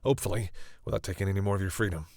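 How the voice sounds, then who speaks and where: A man playing a flustered, protective vampire who is smitten with a human, speaking haltingly and intimately to the listener in an audio roleplay. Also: sits low, no room sound, studio quality, lightly acted. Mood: tender, reassuring. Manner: halting, stammering, bashful.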